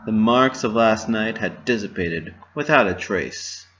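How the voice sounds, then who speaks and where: Male narrator for a dramatic story reading, lower register, dull home recording. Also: a little slow, fairly bright tone, slight background noise.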